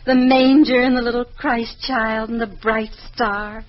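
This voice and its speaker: An actress as a gentle, high-voiced working mother. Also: fairly even intonation, a little slow, lightly acted. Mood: warm, admiring. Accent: American. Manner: deferential, gentle, slightly hesitant. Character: humble, kindly mother working as store cleaner. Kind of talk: old-time American radio drama acting. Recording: muffled, noisy old radio recording.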